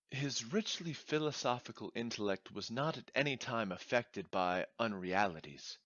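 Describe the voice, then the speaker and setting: A man playing a gloomy, animated Gothic gentleman narrator. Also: lowish voice, no room sound, heavily acted. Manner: formal, measured, expressive. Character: anxious, gloomy nineteenth-century gentleman narrator. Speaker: performer reading a short story. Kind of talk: dramatic first-person Gothic narration. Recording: dry, slightly dull lo-fi sound.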